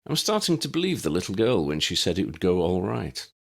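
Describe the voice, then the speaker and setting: A narrator acts a young man in a fantasy audiobook, wry and a little wary. His low voice has lively pitch movement. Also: a little fast, creaky, exaggerated voice. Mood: wry, admitting.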